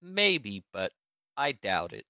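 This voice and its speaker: Blunt, dry man with bright edge. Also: fairly low pitch, big pitch swings, slow, dry recording, highs cut off. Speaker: radio show sidekick. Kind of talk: casual studio banter.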